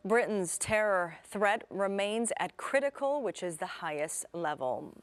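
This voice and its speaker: A woman's voice: medium pitch, lively intonation, a little slow, slight background noise. A woman with an American accent reading scripted television news as an anchor. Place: TV studio.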